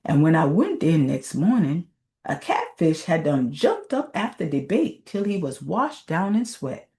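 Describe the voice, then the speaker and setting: Woman doing a bragging folktale fisherman, southern dialect, mellow but lively yarn spinning. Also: deep voice, a little slow, exaggerated voice. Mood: boastful. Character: boastful male fisherman spinning tall tales among friends.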